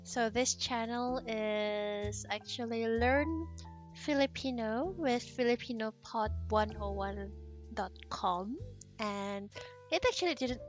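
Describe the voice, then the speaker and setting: A woman streamer chatting casually as she reacts to language lessons, laughing and slowly repeating phrases. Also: on the high side, faint music behind.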